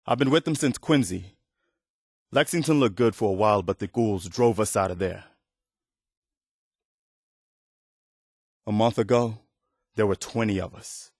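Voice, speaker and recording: Casting: weary, earnest male militia leader for a video game; lowish voice, close dry sound, sincere and plain-spoken. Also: moderate pace, darkish tone, no echo. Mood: weary, somber. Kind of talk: video game dialogue acting.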